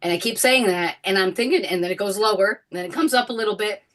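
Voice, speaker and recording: A woman who is sick, with a raspy voice, chatting casually on a call and laughing often. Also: mid-range voice, quick pace, a bit bright. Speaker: caller. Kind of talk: casual chat.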